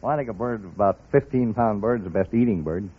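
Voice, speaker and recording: A man's voice: fairly low pitch, fast, slightly rough. A man from Arkansas with an American accent, answering questions plainly as a quiz show contestant. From Arkansas, United States. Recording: muffled vintage radio recording.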